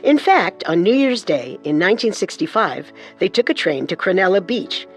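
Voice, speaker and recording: A woman narrating a scripted true-crime story, measured and with storyteller's emphasis. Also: medium pitch, very animated. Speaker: co-host narrator. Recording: music under the voice.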